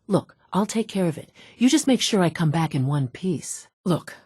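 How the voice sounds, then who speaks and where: A woman's voice: lowish voice, animated, moderate pace, a little mellow, husky, no room sound. A woman playing a brusque, dry-witted scientist from an underground institute in a video game, businesslike and wry. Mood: brisk, reassuring. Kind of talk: video game character dialogue.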